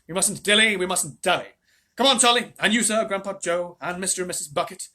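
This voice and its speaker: A man voicing an excitable, eccentric chocolate maker in a storybook reading, with brisk, sing-song exclamations. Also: fairly high pitch, slightly rough, exaggerated voice. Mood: bustling.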